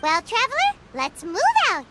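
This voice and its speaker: A woman voicing a small, childlike fairy companion in a video game, plucky and caring, with a squeaky voice. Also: huge pitch swings, normal speed, very noisy, full character voice. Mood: cheerful, caring. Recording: loud background music.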